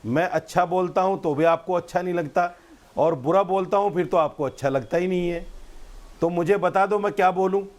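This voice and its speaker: Male imam as TV debate guest. High voice, argumentative, preachy, repetitive for emphasis. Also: brisk. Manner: emphatic, preachy, repeats phrases for stress.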